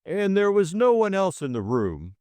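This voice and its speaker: A man voicing a quiet, methodical police superintendent who questions deliberately in an audiobook. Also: sits high, wildly swooping pitch, no echo, pitched above the performer's own voice. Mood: probing. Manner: probing, deliberate. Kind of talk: audiobook character voice.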